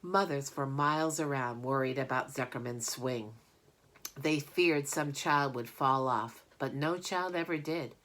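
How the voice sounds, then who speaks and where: A woman's voice: extremely low pitch, a little slow, a bit bright. A woman narrating a children's storybook aloud as a reader. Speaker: audiobook reader.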